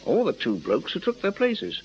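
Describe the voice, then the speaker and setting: A man's voice: high voice, wildly swooping pitch, slight background noise. An actor playing a canny former music-hall memory man, coaxing and slyly patient, in a radio crime drama. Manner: coaxing, teasing, deliberate. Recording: muffled radio drama recording.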